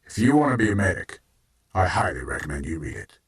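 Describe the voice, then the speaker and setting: A man's voice: deep voice, big pitch swings, fast. A man playing a kindly, encouraging robot mentor medic in an audio drama. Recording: roomy, distant from the mic.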